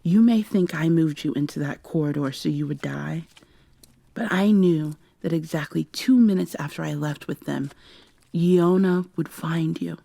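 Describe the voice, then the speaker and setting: Give the audio drama a woman playing an earnest robot woman with a slightly low, husky voice. She is formal and measured, full of regret, and recorded close and dry with a soft breathy delivery. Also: no echo, exaggerated voice. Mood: pleading, regretful. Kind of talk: roleplay ASMR audio drama.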